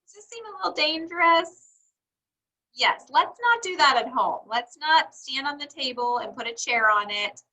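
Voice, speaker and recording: A woman reading a storybook to young children, encouraging them and pausing for answers to her questions. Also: high voice, expressive, pushed and bright, poor audio. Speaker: read-aloud teacher for young children.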